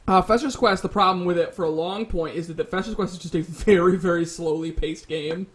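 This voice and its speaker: A man's voice: high voice, fairly even intonation, brisk. A man moderating a casual panel discussion, working through his points with hedges and restarts.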